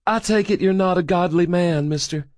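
A man voicing a gentle, hospitable woman preacher with a soothing, courteous manner. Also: high voice, normal speed, no echo, clean studio sound, voice pushed up, close to an everyday voice. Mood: earnest.

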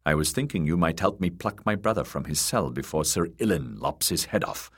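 A man voicing a weary, bitter one-handed knight who speaks low, grim and clipped. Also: lively intonation, brisk, slightly rough, heavily acted. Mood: menacing. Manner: low, grim and clipped, menacing when threatening.

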